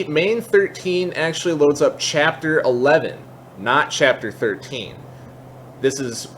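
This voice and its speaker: A man hosting a casual software tutorial, explaining things step by step in an informal way. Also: medium pitch, a little hiss or hum. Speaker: tutorial host.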